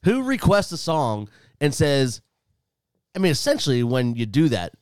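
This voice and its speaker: A man telling a story in casual chat as a co-host, animated and indignant. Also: on the high side, moderate pace, smooth, dry recording, studio quality. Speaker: DJ and podcast co-host.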